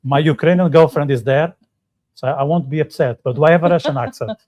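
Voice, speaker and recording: A man's voice: fairly high pitch, a little fast, darkish tone. A man co-hosting a live writers' podcast in casual chat, joking and putting questions to his guests. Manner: chatty, joking, asks the others questions. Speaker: podcast co-host. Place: hotel room, live session.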